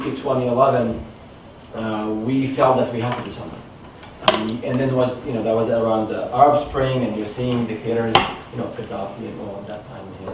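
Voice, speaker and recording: A man giving an informal public presentation as a guest speaker, talking conversationally and often checking in with listeners. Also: medium pitch. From born in Damascus, Syria, moved to the US when young. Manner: conversational, often checking with "you know" and "right?". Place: indoor room in front of an audience. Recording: very echoey room, distant mic, clear noise.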